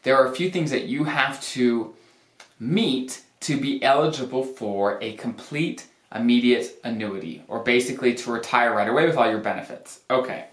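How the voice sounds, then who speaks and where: A man with a medium-pitched voice delivers an informal explainer to viewers. He stresses points by repeating words. The recording is clearly roomy, with echo around the voice. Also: moderate pace, fairly bright tone. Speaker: financial planner hosting an explainer video. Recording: roomy, echoey sound.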